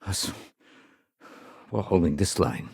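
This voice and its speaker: A man voicing a gruff, no-nonsense police sergeant in a video game, brusque, authoritative and plain-spoken. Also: low voice, animated, slow, a little mellow, no echo, studio quality, full character voice. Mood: urgent, commanding.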